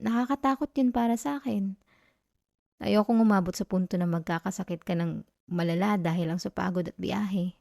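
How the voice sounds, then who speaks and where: An affectionate young Filipino girlfriend, played by a woman, speaks in a soft, mellow voice. She is tender and coaxing, with a playful scolding streak. The ASMR roleplay sounds intimate and very dry, with no room around her words. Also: medium pitch, normal speed, creaky, dry recording, natural voice. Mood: gently worried. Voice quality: soft, gentle.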